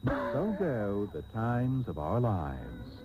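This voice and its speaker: Theatrical, swooping radio soap host. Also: male voice, fairly low pitch, very animated, narrow, tinny audio, background noise, exaggerated voice. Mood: grandly melodramatic. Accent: American. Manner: theatrical, drawn-out, sardonic. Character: jaded, grandiose male soap-opera radio host. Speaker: host and narrator. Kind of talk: parody radio soap narration. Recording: phone-thin old radio tape, music behind.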